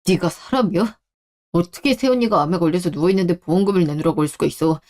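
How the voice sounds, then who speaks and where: Woman acting a low-pitched, protective husband. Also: quick pace, darkish tone, close to an everyday voice. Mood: angry. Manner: firm, blunt, earnest. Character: protective, outraged adult husband, male. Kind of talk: Korean radio-drama story acting.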